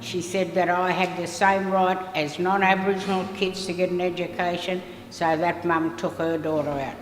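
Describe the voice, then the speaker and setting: Older woman, Australian accent, even monotone delivery, roomy reverb. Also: on the low side, normal speed. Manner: steady, earnest storytelling. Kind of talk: documentary interview. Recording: echoey hall with soft music.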